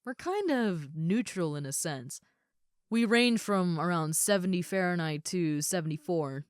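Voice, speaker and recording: A woman's voice: lowish voice, lively intonation, unhurried, clean tone, no echo, clean studio sound. A woman playing a muscular female ogre roommate in a roleplay audio, friendly, easygoing and caring, with a casual, chatty way of talking and hesitant asides. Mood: easygoing, helpful. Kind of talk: roleplay audio acting, addressed to the listener.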